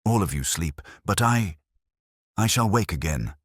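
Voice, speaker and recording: A man voicing a coolly grandiose, fanatical murderer in an audiobook, with a calm, menacing, declamatory delivery. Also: sits low, unhurried, slightly rough, no echo, clean studio sound, pitched above the performer's own voice, exaggerated voice. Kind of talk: dramatized audiobook villain.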